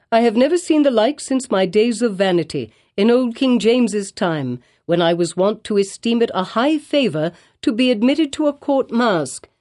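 A woman's voice: medium pitch, mellow, lightly acted. A woman voicing a stern, pompous elderly male colonial governor in archaic speech. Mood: surprised.

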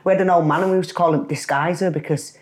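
A woman's voice: on the low side, fairly even intonation, racing. Woman, yorkshire accent, middle-aged, podcast guest, chatty storyteller, laughs easily. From Leeds, England. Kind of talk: casual podcast interview.

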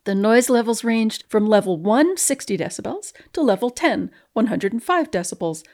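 A woman's voice: on the high side, a little mellow. A woman reading formal scientific text aloud in a precise, straight-faced manner. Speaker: guest reader, scientist. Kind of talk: dramatic reading aloud of a research paper.

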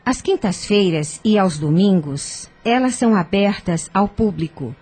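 A woman playing a calm, wise spiritist counsellor in a radio drama, with measured, gentle, didactic delivery. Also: mid-range voice, slightly rough.

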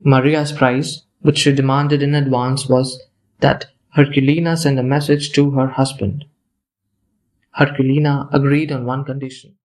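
A man's voice: mid-range voice, little pitch movement, moderate pace, a little mellow, low-quality recording. A man narrating a scripted audio play as a dramatic storyteller.

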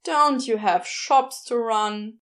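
A woman's voice: fairly high pitch, slow, fairly bright tone. A woman voicing a surly, guarded male florist with a curt, growling manner in a narrated story. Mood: snarling, guarded.

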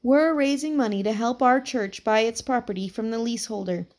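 A woman voicing an eager, confident young boy who speaks up fast and upbeat. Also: a little high, a little mellow, lightly acted.

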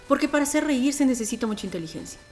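A woman with an Ecuadorian accent and a deep, strong voice, chatting casually and colloquially as a radio presenter telling stories in an animated way. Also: rapid-fire. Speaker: radio presenter being interviewed. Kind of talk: casual podcast chat. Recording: music playing behind the voice. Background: music.

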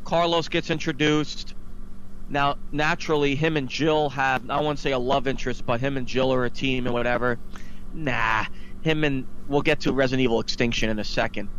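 A man's voice: medium pitch, fairly even intonation, moderate pace, fairly bright tone, muffled recording. A man hosting a podcast, giving a casual, opinionated and emphatic film review that turns into an exasperated rant. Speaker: podcast host. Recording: faint background noise.

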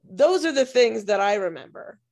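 Hoarse woman with a gravelly voice. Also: a little high, expressive, moderate pace, a little mellow. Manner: rambling, self-interrupting storytelling. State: hoarse, losing her voice. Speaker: podcast co-host and music teacher. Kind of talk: casual podcast chat.

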